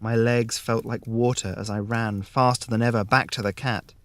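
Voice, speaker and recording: A man narrating a scripted fairy tale in the first person, measured and with dramatic pauses. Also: medium pitch, steady tone, no echo. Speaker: story narrator.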